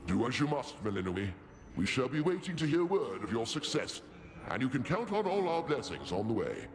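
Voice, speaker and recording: A man's voice: mid-range voice, big pitch swings, moderate pace. A man voicing a jovial, grandiose king of the gods in a video game, proclaiming in a pompous, theatrical way. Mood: stately. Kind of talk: scripted video game voice acting. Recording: music behind the voice.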